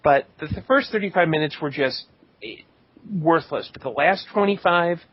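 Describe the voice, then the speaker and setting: A man's voice: a little high, expressive, normal speed. A man co-hosting a podcast, giving blunt, sarcastic opinions in casual chat. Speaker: podcast co-host. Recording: dull lo-fi podcast audio.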